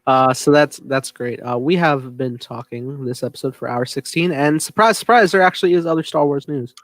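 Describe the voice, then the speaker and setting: A man's voice: mid-range voice, a little fast, a bit bright, no echo. A man hosting a fan podcast in a casual, conversational style. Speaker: podcast host steering the show.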